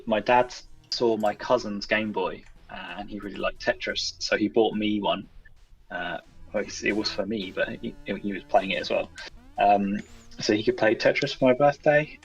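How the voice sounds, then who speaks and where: Low-voiced man, distant, over music. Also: steady tone, a little slow, breathy edge, highs cut off. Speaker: guest game developer. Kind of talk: casual interview chat. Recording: distant mic with music behind.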